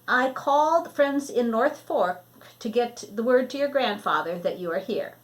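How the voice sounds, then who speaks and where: A woman voicing a kindly, caring grandmother in a storybook, gentle and hospitable. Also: a little high, expressive, measured pace. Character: kindly, caring grandmother hosting a stranded boy.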